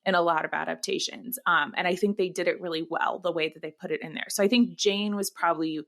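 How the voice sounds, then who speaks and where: A woman's voice: lowish voice, steady tone, fast talker, fairly bright tone. An American woman co-hosting a podcast, chatting casually in a playful, joking, colloquial way. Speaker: podcast co-host. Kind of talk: casual chat, comic commentary.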